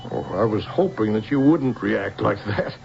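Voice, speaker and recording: An American actor playing a protective, fatherly older scientist in a radio drama, speaking gently and earnestly with hesitant pauses. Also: on the low side, expressive, vocal fry, slight background noise. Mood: earnest, explaining. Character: protective older scientist, tender fatherly guardian to a young woman. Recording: dull old radio recording with music.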